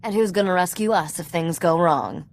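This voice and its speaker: A woman's voice: lowish voice, moderate pace, natural voice. A woman playing a sly, self-assured treasure hunter and ship mechanic in a video game, dry and teasing. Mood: dry, concerned. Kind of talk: video game cutscene acting.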